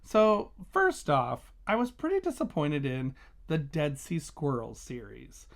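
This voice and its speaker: A man's voice: a little high, sing-song, measured pace, gravelly. A man hosting a casual book review vlog, talking conversationally and emphatically, stressing and repeating key words.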